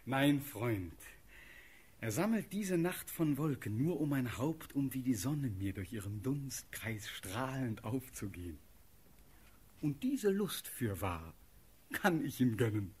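Husky, impassioned young condemned prince. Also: male voice, mid-range voice, big pitch swings, darkish tone, exaggerated voice. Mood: indignant. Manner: declamatory, impassioned, pausing for effect. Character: young passionate prince condemned to death, proud, desperate. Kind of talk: classical verse radio-play acting.

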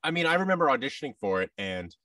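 A man in his thirties with an American accent, chatting casually as an interview guest and telling anecdotes in an expressive way. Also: mid-range voice, rapid-fire, no echo, recorded from a distance. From Seattle, United States. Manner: animated, anecdotal, acts out quoted thoughts. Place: large furnished indoor room, video call. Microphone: boom mic and headset, slightly off-mic.

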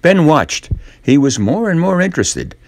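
An older man reading a classic children's story as storyteller, in measured, simple phrases. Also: on the high side, big pitch swings, dry recording. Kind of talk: scripted story narration.

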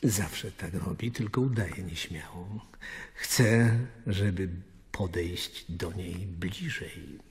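An actor playing a Roman's cynical, gossipy companion in a radio drama, with a husky voice. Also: male voice, low voice, takes it slowly, full character voice. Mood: knowing.

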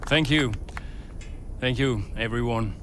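An actor playing a measured, earnest racing team principal in a video game drama, choosing his words carefully. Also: mid-range voice, a bit bright, a little hiss or hum. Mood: gracious.

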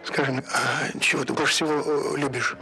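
A man's voice: medium pitch, level tone, a little fast, gravelly, over music. A man off camera interviewing a young girl in a living room, asking hesitant questions with fillers, picked up by the camera mic. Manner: hesitant, prompting with "tell me" and fillers. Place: indoors in a home living room.

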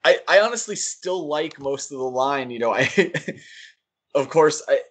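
A man co-hosting a podcast, giving casual critical commentary in a halting, thinking-aloud way. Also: medium pitch, lively intonation. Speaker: podcast co-host. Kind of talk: casual critical comics discussion.

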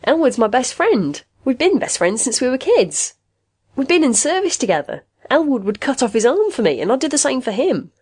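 A stubborn, suspicious man in an audiobook story, played by a woman, pitched high and swinging widely. He sounds defiant and protective, always ready to argue. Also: big pitch swings, normal speed, hardly any pauses, darkish tone, no room sound, clean studio sound. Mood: disbelieving. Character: wary, stubborn man, fiercely loyal to his friend.

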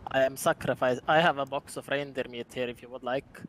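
A man playing an eccentric, excitable reindeer herder in game role-play, with an earnest, halting, emphatic delivery. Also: medium pitch, slight background noise, close to an everyday voice. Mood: earnest, proud.

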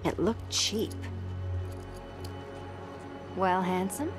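A sly, flirtatious cat burglar. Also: female voice, medium pitch, lively intonation, drawn-out words, music in the background. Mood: sardonic, teasing. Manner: teasing, purring, sardonic. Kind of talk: video game character acting.

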